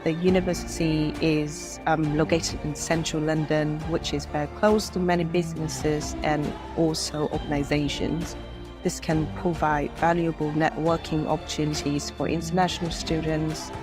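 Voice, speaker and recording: A woman student giving a measured promotional testimonial. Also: low voice, little pitch movement. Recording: music bed behind voice.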